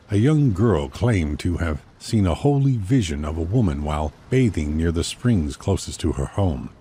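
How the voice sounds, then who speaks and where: Man as very deep solemn storyteller. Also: warm tone, slight background noise. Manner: solemn, deliberate. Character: grave, worldly male storyteller recounting dark tales. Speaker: voice actor. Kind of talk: dramatic scripted storytelling narration.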